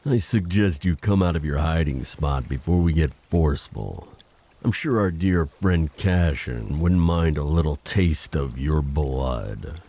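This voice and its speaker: Man as a very deep-voiced, solemn sea prince. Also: expressive, heavily acted. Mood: cool threat. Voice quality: very deep, dark. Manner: formal, grave, measured. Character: solemn, dutiful undersea prince guarding his hunted people. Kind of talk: audio drama acting.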